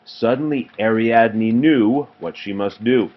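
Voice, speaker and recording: Male storybook reader, parent reading to child, lower pitch, muffled home recording. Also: takes it slowly. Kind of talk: storybook read-aloud narration.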